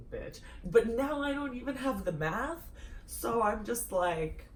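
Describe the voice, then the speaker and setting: A woman's voice: on the high side, lively intonation, takes it slowly. A young woman from Russia, a native Russian speaker, telling rambling stories in casual chat, laughing and swearing often. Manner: giggly, swears freely, rambling storytelling. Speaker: podcast host. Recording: echoey room, distant mic, faint noise.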